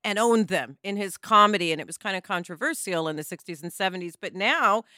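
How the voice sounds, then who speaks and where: A middle-aged woman chats as an opinionated podcast panelist. Her voice is bright and lively, swinging widely in pitch, and recorded dry. She is blunt and casual in how she puts things. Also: brisk, dry recording. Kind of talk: animated casual discussion.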